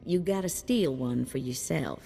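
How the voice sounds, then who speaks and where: An actress playing a brash, streetwise bounty hunter's handler in a video game, folksy and cheeky. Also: female voice, rumbling low, animated, a little slow, vocal fry, mellow, soft background music, heavily acted. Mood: brisk, wry. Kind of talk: scripted game character acting. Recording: long hall reverb.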